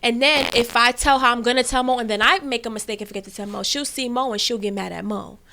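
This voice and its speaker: Outspoken bright-voiced woman guest. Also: fairly high pitch, steady tone, quick pace, vocal fry. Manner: outspoken, candid. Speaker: guest, member of a female music duo. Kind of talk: casual radio interview chat.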